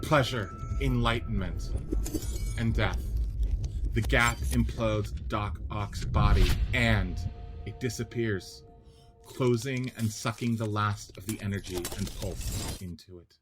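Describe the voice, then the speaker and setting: A man's voice: fairly low pitch, takes it slowly. Dramatic male narrator over music. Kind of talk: scripted radio play narration, reading stage action.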